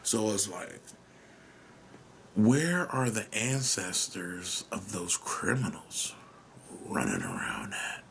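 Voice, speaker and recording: American dude thinking out loud, gravelly voice, kinda rambly, faint hiss behind him. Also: male voice, sits low, very animated, slow pace. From United States. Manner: musing, self-questioning, trails off; drops to a whisper at times, casual swearing. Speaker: podcast host. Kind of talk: rambling solo monologue.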